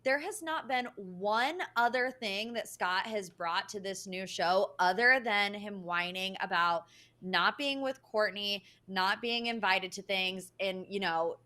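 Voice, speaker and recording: A woman's voice: mid-range voice, unhurried, pushed and bright. A woman giving emphatic, opinionated commentary as a panelist on a casual recap show.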